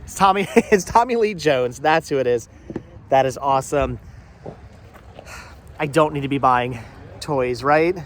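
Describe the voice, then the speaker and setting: A man's voice: mid-range voice, unhurried, pauses often. A chatty, upbeat man hosting a casual vlog, joking as he walks around an outdoor market. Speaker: vlog host. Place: outdoor flea market on a cold day. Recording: faint outdoor background noise.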